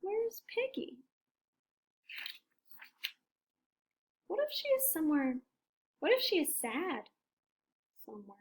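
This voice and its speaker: A woman voicing an excitable, agonizing male elephant in a storybook, with a dramatic, drawn-out delivery. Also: very high-pitched, pitched above the performer's own voice. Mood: worried. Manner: dramatic, drawn-out, wavering between glee and worry. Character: excitable, agonizing male elephant who loves ice cream.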